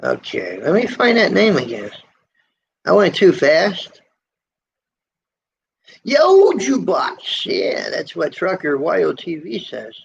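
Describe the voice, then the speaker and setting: A middle-aged man from the United States with a squeaky voice, hosting a casual livestream from a motel room, rambling in a folksy way and answering viewer comments. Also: huge pitch swings, takes it slowly, vocal fry, pauses often. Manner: rambling, folksy, with many fillers and restarts. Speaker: trucker livestream host. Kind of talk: casual live chat reading viewer comments. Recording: lo-fi livestream audio, faint noise.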